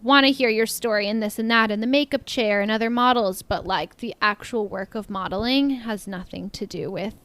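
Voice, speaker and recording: A young woman with a slight Texan twang, a podcast guest chatting casually, upbeat and quick to laugh, saying "like" often. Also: medium pitch, a bit bright, no echo. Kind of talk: casual interview chat.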